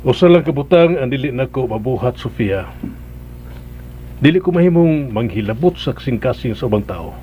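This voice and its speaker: A composed, well-meaning bridegroom acted by a man speaks in a Philippine radio drama. His accent is Cebuano Filipino and his pitch sits in the middle. Clear noise and chirping insects lie behind him. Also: animated, normal speed, dark tone, natural voice, low-quality recording. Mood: firm, calm. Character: composed, well-meaning bridegroom giving instructions, a respected sir.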